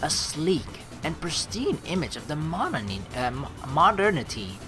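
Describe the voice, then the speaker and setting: A man reading a game aloud as a let's play host, with excitable commentary and dramatic narration. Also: on the high side, measured pace, over music.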